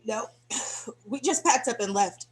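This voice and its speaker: An actress playing a bold, free-spirited young drifter with a husky, casual voice in a dramatic script reading. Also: female voice, fairly high pitch, expressive, unhurried, poor audio. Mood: brash, playful.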